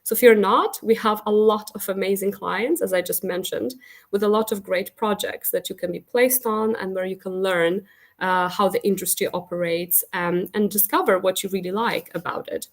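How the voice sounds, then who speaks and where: A woman consultant and career counselor with a cold, hoarse and hesitant, giving an earnest, encouraging talk at a virtual open evening. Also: medium pitch, fairly even intonation, normal speed. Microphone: video call.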